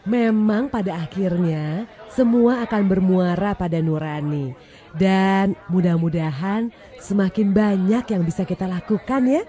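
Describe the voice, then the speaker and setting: A woman's voice: mid-range voice, measured pace, mellow. A woman hosting a talk show, addressing guests and audience. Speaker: host.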